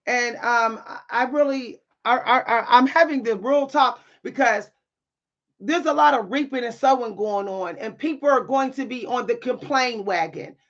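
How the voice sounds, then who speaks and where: A woman with a deep, at times loud voice, speaking in a bold, sassy way with dry humor and repeating phrases for emphasis in a motivational monologue. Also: moderate pace, dull audio. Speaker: spiritual content creator and writer.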